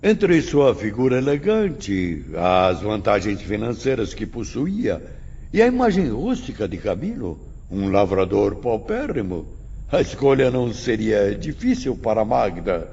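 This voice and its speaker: A man's voice: lowish voice, sing-song, measured pace, creaky, a little mellow, slight background noise, full character voice. A man voicing a solemn, didactic teacher who presents a sad past to apprentices in a dramatized audiobook. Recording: dull lo-fi audio, long reverb, music. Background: music.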